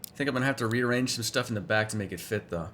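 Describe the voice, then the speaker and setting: A man's voice: mid-range voice, racing, a bit bright, slight background noise, close to an everyday voice. A man playing a sardonic, teasing, foul-mouthed vampire in an ASMR roleplay, talking casually to one listener. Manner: casual, teasing, sarcastic, swears freely.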